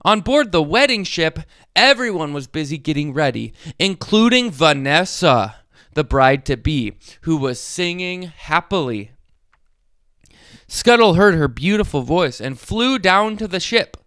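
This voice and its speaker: A man reading a children's story aloud in an expressive way, as a storyteller speaking directly to young listeners. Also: fairly high pitch, unhurried, no room sound, studio quality. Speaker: storyteller, host of a read-along channel. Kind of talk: animated children's read-aloud with short asides.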